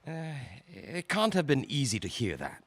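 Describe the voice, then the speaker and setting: A man playing a prosecution counsel in a courtroom radio drama, questioning in a formal, measured way. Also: medium pitch, huge pitch swings, a bit bright, exaggerated voice. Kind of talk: audio drama acting.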